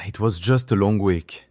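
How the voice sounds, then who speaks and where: A man's voice: on the low side, a little mellow, breathy edge, no echo, exaggerated voice. A man voicing a weary, evasive husband in an audio drama, subdued and reluctant. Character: weary, evasive husband hiding his troubled heart. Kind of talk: audiobook character dialogue.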